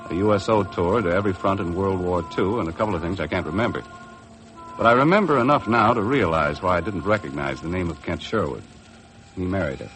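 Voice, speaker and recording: A laconic American adventurer tells his story in a low, expressive voice. He is dry and understated, in the manner of 1950s radio drama, with music on the vintage recording. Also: rough recording. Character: laconic, worldly charter boat skipper and adventurer narrating his story. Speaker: narrator and lead actor. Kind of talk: 1950s American radio drama acting, first-person narration.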